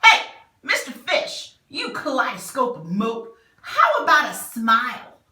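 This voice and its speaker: A woman's voice: a little high, huge pitch swings, slow, pushed and bright, heavily acted. A woman voicing a squirmy, slightly impolite female squid with a cheeky manner. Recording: roomy sound from a distant mic.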